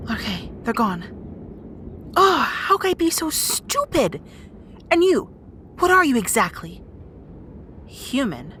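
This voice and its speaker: A woman's voice: high-pitched, very animated, takes it slowly, pauses often, noisy background, full character voice. A woman playing a bossy, guarded young rat princess in an audio roleplay drama, with exasperated, wry asides. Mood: suspicious, wry.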